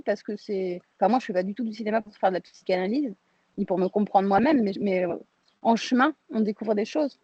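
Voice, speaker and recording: A woman from France answers as a film director in a relaxed, mellow voice. She reflects informally, rephrasing as she goes. The sound is dry and somewhat muffled. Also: medium pitch, breathy edge, no room sound. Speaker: film director being interviewed.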